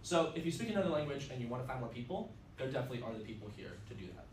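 A young man, a native Russian speaker, talks quickly and casually to a room of students, with plenty of ums and likes. He sounds far from the mic, and the classroom gives his voice a roomy echo with faint background noise. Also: mid-range voice, very animated, a little mellow. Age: young adult. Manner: upbeat, chatty, many fillers. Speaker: student club presenter. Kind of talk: casual presentation. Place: classroom, indoors, mid-sized. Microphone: far camera.

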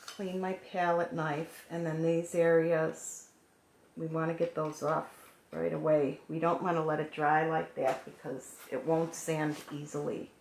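A woman with a low, gravelly, hoarse voice explains each step of a painting craft in a calm, gentle way. Her voice is recorded at a work table in an echoey room with a faint hiss behind it. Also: unhurried. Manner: calm, gentle instructional talk while working. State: hoarse, froggy voice.

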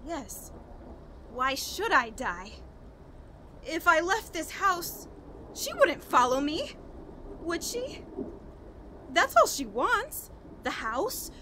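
An actress playing a frantic, haunted woman on the edge of madness in a horror radio play, halting and overwrought. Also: very high voice, very animated, sharp tone, slight background noise, full character voice. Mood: desperate, afraid. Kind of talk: 1940s radio horror acting, dramatic monologue.

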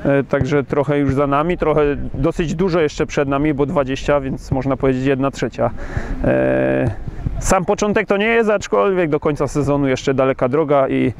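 A man's voice: mid-range voice, normal speed. A man, a football coach giving a post-match interview. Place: outdoors on a football pitch. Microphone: lapel mic with windscreen. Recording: clear outdoor background noise.

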